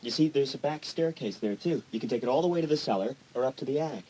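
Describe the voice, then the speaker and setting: A man's voice: mid-range voice, fast, warm tone, lightly acted. A man playing a teasing, nonchalant teenage stepbrother in an audio drama, casual and wry. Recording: faintly noisy old cassette.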